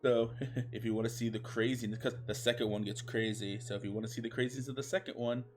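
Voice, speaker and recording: A man's voice: medium pitch, fairly even intonation, quick pace, faint music behind. A man livestreaming a comic story reading, chatting casually with his viewers in an irreverent, sweary manner. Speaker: streamer, fan fiction reader.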